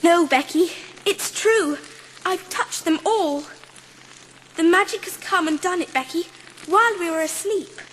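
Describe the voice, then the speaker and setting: A dreamy, kind little girl in an audiobook drama. The voice is tiny and very high, slow with breathless wonder, over clearly audible rustling noise. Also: a bit bright, poor audio. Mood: excited, overjoyed. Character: excited, dreamy, kind young girl in poverty.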